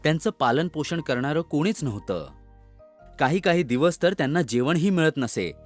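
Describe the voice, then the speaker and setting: Lively male children's storyteller. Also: on the high side, normal speed, soft background music. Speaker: storyteller narrator. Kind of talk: scripted children's story narration.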